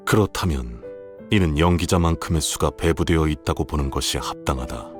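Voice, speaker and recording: A man's voice: a bass voice, a little fast, faint music behind. An actor playing a stern, logical man in a Korean game, speaking in stiff, formal statements. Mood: matter-of-fact. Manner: stiff, declarative.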